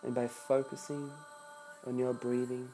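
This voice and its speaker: Slow, mellow meditation guide. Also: mid-range voice, level tone. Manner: soothing, hushed delivery with long pauses between short phrases. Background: background music.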